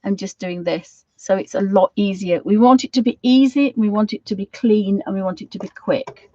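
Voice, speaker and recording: A middle-aged British woman with a slightly croaky voice, giving a casual craft tutorial in a rambling, homely way, thinking aloud as she goes. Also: mid-range voice, moderate pace, warm tone, dull audio. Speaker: watercolour craft tutor hosting a live session.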